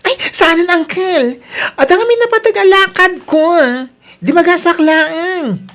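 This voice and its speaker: A very high, squeaky voice with an Ilocano accent, an eager young nephew in a radio comedy-drama. He is boastful and exclaims with gusto. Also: a little slow, fairly bright tone, heavily acted. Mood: excited.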